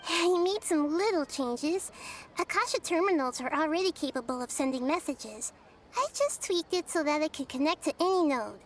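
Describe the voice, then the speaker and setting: A wise little girl from a video game with a very high, childlike voice. She speaks in a composed, gentle, explaining manner, with music clearly behind her. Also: lively intonation, normal speed. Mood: helpful, calm. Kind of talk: video game character dialogue.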